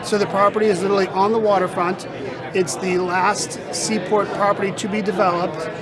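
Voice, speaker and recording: A man speaking as a real estate sales director in an interview, with measured, polished sales talk, on a busy conference floor. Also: sits high, level tone. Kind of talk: interview at a trade event. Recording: loud crowd chatter behind. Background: crowd chatter.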